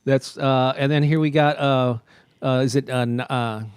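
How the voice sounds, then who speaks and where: A man's voice: mid-range voice, steady tone, normal speed, smooth, dry recording. A man hosting a casual livestream, greeting viewers by name and laughing often. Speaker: host.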